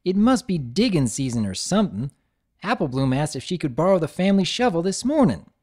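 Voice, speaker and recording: A man's voice: fairly high pitch, very animated, brisk, darkish tone, voice pushed up. A man voicing an honest, hardworking country pony mare with a Southern drawl and folksy, earnest manner.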